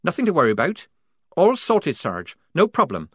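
Guy doing a young woman soldier in a storybook, high and hurried, talks a mile a minute. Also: wildly swooping pitch, fairly bright tone, no echo, studio quality, exaggerated voice. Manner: hurried, insistent. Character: young woman soldier, quick-witted and tense. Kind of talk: audiobook character voice.

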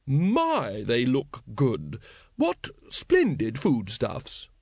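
A man's voice: high voice, huge pitch swings, unhurried, dry recording, clean studio sound, voice pushed up, exaggerated voice. A man voicing a well-bred, hearty aristocratic lady in a storybook, with genteel politeness. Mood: polite.

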